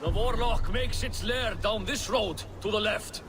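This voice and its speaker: An actor playing a grim, superstitious, vengeful hunter in a video game, speaking with dramatic intensity. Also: male voice, high-pitched, unhurried. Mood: bitter. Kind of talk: video game character acting. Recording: clear background noise and ambience.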